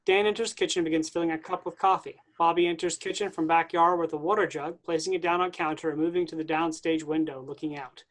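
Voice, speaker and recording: A reader voices the stage directions of a staged play reading in a matter-of-fact, even way. The pitch sits in a middle range. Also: fast talker, few pauses.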